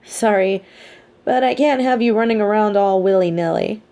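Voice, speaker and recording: Smug, mellow female supervillain, acted by a woman. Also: medium pitch, moderate pace, natural voice. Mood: exasperated. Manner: smug, articulate, sardonic. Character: female supervillain, adult, smug, mean but sympathetic captor. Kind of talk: dramatic audio roleplay monologue.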